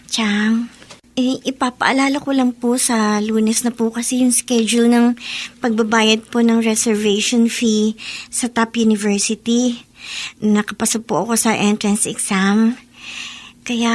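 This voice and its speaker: Timid young niece, radio drama, slightly high voice, respectful and hesitant. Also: female voice. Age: young adult. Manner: deferential, hesitant. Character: polite, timid young niece asking for school money.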